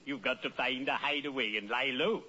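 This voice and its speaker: A man plays a genteel undertaker who relishes the macabre, speaking with formal courtesy in classic radio comedy style. The old recording is dull and clearly noisy. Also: medium pitch, moderate pace, lightly acted. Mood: morbidly genial. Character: genteel, morbidly cheerful male undertaker. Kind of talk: 1940s radio comedy acting.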